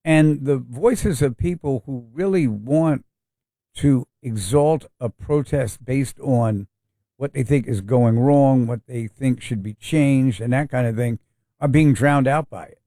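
A man's voice: medium pitch, measured pace, warm tone, no echo. A man hosting a talk radio show and telling stories. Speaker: radio host. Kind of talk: casual talk radio chat.

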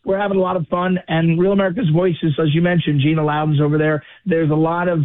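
A man being interviewed over the phone, losing his voice, talking fast and restarting his words. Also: a little high, level tone. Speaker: guest. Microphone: phone call. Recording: tinny phone line.

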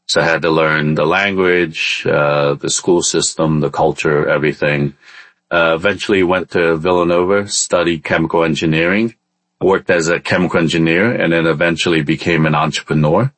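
A man's voice: extremely low pitch, steady tone, normal speed, a bit bright, dry recording. A man from Vietnam who moved to the US as a child, telling his story casually as an interview guest, hesitating often. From born in Vietnam, in the US from age ten. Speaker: podcast guest, entrepreneur.

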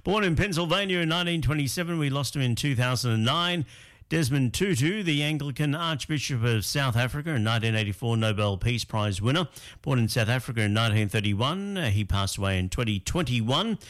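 Easygoing Australian radio host. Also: male voice, medium pitch. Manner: relaxed, with frequent fillers and pauses. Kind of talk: local radio birthday segment.

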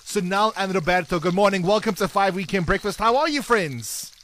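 A man's voice: sits high, expressive, fairly bright tone. A man hosting a breakfast radio game, chirpy and teasing. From South Africa. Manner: chirpy, teasing, quick patter. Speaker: radio breakfast show host. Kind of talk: upbeat radio game segment.

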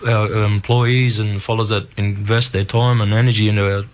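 A man's voice: lowish voice, little pitch movement, moderate pace. A middle-aged man with an Australian accent, talking casually and candidly in a plain, colloquial way as an interview guest. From Ipswich region, Australia. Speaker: community group founder, interview guest.

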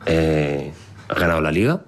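This man has a deep voice and a first language of Catalan, and he talks with the easy back-and-forth of a radio host. He teases his co-host and then sets out the news point by point. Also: expressive, normal speed. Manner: chatty, teasing, lays out news point by point. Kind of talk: casual chat on a radio show.

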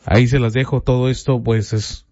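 A man's voice: medium pitch, little pitch movement, normal speed, darkish tone, no room sound, highs cut off. A man presenting a local news bulletin in a conversational way, speaking straight to the listener and leaning on fillers. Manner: chatty, filler-heavy, addresses listener directly.

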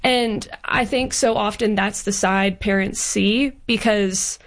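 A woman's voice: mid-range voice, fairly even intonation, slow pace. A woman in her early twenties speaking earnestly and casually as an interview guest, with a youthful manner. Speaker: guest author.

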